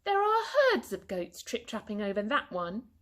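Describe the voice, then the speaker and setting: A woman's voice: medium pitch, very animated, normal speed, a bit bright. A woman voicing a crafty, pleading rabbit in a children's storybook, with a light delivery.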